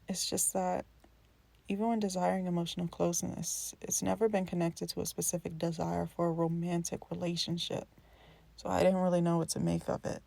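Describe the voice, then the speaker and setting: Woman acting hesitant, earnest young friend, mellow and creaky. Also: fairly low pitch. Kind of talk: scripted character dialogue, naturalistic.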